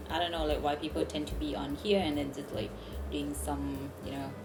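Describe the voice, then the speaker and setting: A woman's voice: sits low, flat delivery, moderate pace, creaky, reverberant, music in the background. A woman from Thailand, speaking English as an in a hesitant, giggly video chat full of fillers. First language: Thai. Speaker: channel host chatting with strangers. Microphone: online video call.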